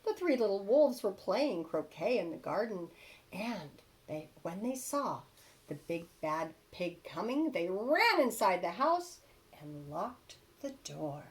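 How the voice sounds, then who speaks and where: Female teacher-type storyteller: unhurried, highly animated read-aloud for children. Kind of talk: expressive children's storybook narration.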